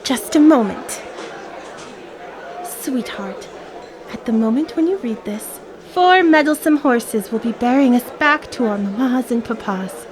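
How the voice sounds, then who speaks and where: A bright young Parisian girl reading a note aloud in mock-formal tones. Her voice sits high and comes slowly and deliberately. A crowd murmurs behind her. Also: expressive. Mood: amused. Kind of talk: radio drama acting.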